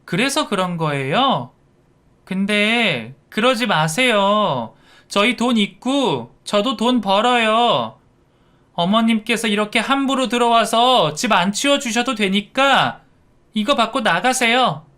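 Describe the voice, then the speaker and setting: A man's voice: high voice, lively intonation, slow pace, sharp tone, voice pushed up, heavily acted. A man voicing a haughty, scornful young daughter-in-law in a narrated story, indignant and condescending. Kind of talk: acted dialogue in a narrated story.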